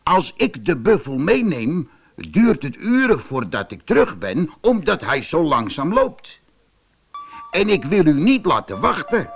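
A man's voice: fairly high pitch, voice pushed up. Een man die een slimme rijstboer in een sprookje speelt, onderdanig beleefd en listig. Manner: deferential, polite, calmly cunning. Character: shrewd rice farmer, humbly courteous to a tiger. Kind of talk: storybook character voice.

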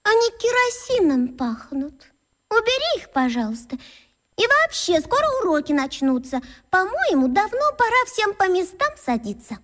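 A strict fifth-grade class monitor in a children's radio drama. The voice is high and girlish, and the manner is earnest, bossy and reproving. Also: sing-song, normal speed, a bit bright, exaggerated voice. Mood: stern, reproachful. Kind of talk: children's radio play acting.

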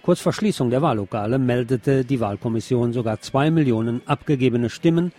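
A man's voice: mid-range voice, fairly quick, warm tone, faint music behind. Male news presenter for scripted bulletins, mid-range voice.

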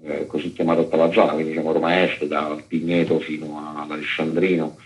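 A man's voice: a bass voice, level tone, a little fast, low-quality recording. A man who works as an actor, speaking off the cuff in a radio interview with rambling, improvised phrasing. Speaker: actor and author, radio guest. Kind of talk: unscripted interview talk.

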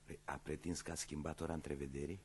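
A man's voice: rumbling low, little pitch movement, darkish tone, a little hiss or hum. An actor playing a polite but insistent police inspector, slyly ironic as he questions suspects in a radio play. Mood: insistent, probing.

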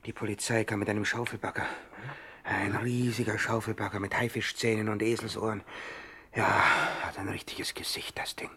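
An actor playing a nervous, nightmare-haunted gang boss in a 1960s radio comedy, husky and highly dramatic. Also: male voice, flat delivery, moderate pace, heavily acted. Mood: haunted, confiding. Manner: agitated, dramatic, swinging between loud and hushed.

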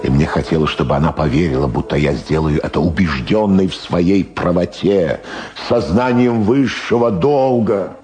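An actor playing a troubled middle-aged prosecutor in a radio drama monologue, questioning himself and justifying his compromises with dramatic pauses. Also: deep voice, a little mellow, natural voice. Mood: anguished. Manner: brooding, rhetorical self-questioning, dramatic pauses.

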